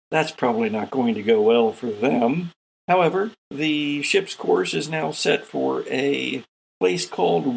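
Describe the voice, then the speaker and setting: A man hosting and narrating a tabletop role-playing game as game master, with theatrical, deliberate pauses. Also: on the high side, creaky, breathy edge, poor audio.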